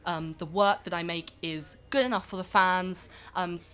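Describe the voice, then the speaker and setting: A self-important film actress, played by a woman with a slightly low voice. She speaks haltingly and formally, like someone managed by publicists, in a mock interview for a comedy podcast. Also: fairly even intonation, fairly bright tone, clear, resonant tone, close to an everyday voice. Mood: stiffly apologetic. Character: self-important, overworked young film actress making a hollow apology. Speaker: film star being interviewed.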